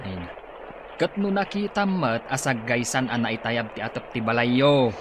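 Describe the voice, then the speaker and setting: A man's voice: mid-range voice, normal speed, a bit bright, close to an everyday voice. A man with an Ilocano accent playing a sensible, chiding older neighbor in a radio drama. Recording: noisy outdoor ambience behind voice.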